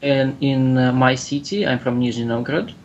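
A man in his thirties from Russia with a Russian accent, answering interview questions in halting English full of "uh" fillers, over a webcam video call in a small room. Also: mid-range voice, lo-fi sound, slight background noise. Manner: halting, many "uh" fillers, searching for English words. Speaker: human rights activist, interviewee.

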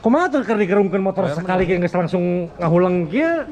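High-pitched, lively West Javanese male vlogger. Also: fairly quick, crowd noise. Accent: Sundanese-region Indonesian (West Java). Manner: teasing, repeats words in bursts. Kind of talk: casual bantering chat. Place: open-sided roofed motorbike paddock at a race circuit.

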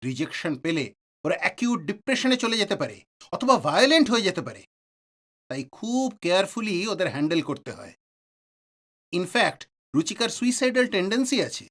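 A man's voice: high voice, big pitch swings, fairly quick, fairly bright tone. An actor playing a reassuring middle-aged male doctor and family friend in an audio drama, explaining with authority and some English words. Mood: grave, explanatory.

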